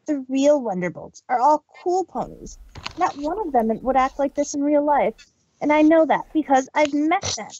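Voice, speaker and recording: A brash female cartoon pegasus, voiced by a woman, speaks high and husky with emphatic, lively inflection. She sounds loyal and defiant, in a dull, dry home recording. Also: moderate pace, slight background noise. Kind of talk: fan fiction audio drama acting.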